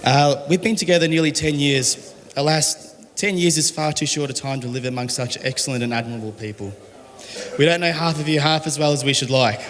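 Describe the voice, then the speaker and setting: A man with a voice hoarse from a cold, giving a deadpan groom's speech. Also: on the high side, brisk. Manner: dry, deadpan humour. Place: wedding reception hall. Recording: hall reverb, crowd behind. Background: wedding guests.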